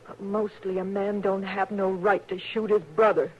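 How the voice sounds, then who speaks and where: An actress playing a grim, resolute frontier woman in a 1950s radio drama, speaking flatly. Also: medium pitch, moderate pace, a little hiss or hum, lightly acted. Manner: flat and quietly determined. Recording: dull old radio recording.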